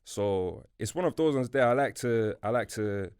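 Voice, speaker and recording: Laid-back young man, Portuguese-born, low voice. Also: expressive, moderate pace, darkish tone, clean tone, dry recording, clean studio sound. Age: young adult. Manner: laid-back street slang, fillers like "you feel me", "bro". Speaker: guest. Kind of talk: casual interview chat. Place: podcast studio.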